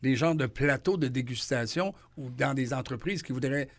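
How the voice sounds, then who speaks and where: A man's voice: mid-range voice, normal speed. A man with a Quebec French accent, hosting a morning radio interview in a chatty, enthusiastic, rambling way. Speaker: radio host.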